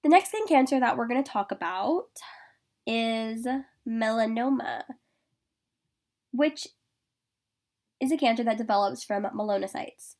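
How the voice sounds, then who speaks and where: Congested young woman with gravelly edge. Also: a little high, darkish tone. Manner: reading steadily through a list of facts. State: congested from a sinus infection. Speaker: student presenter. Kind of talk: informational class presentation over slides.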